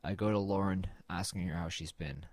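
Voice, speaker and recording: A man's voice: sits low, fairly even intonation, brisk, noisy background. A man playing a brooding, melancholy artist who narrates an audio drama in a reflective, understated, slightly hesitant way. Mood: subdued, reflective.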